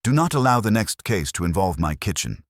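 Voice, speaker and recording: A man's voice: low voice, very animated, a little fast, no echo, studio quality, voice pushed up. A man voicing a stern, pedantic, imperious detective with formal, precise phrasing, in an audiobook.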